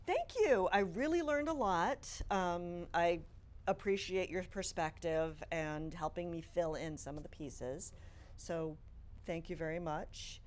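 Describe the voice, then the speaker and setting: A woman hosting an interview podcast, chatty and quick with short interjections. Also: on the low side, a little mellow. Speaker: podcast host.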